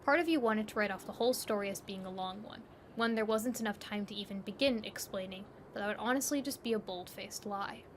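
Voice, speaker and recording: A woman's voice: mid-range voice, a little fast, hardly any pauses, slight background noise. A woman narrator reading a scripted second-person story.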